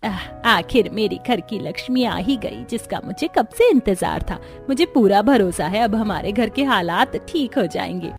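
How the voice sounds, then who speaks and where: A woman's voice: medium pitch, lively intonation, moderate pace, vocal fry, hardly any pauses, soft background music. A woman playing a kindly middle-aged village mother-in-law in a cartoon, homely and affectionate. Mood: welcoming. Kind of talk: Hindi cartoon story dubbing.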